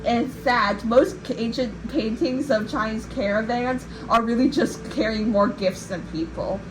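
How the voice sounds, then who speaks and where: A woman with a fairly high voice tells a story casually, as if to a friend. Her delivery is informal and rambling, with a playful streak. The recording has clear background noise. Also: a little slow. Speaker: podcast host and storyteller. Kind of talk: casual storytelling of a legend in chat with a co-host.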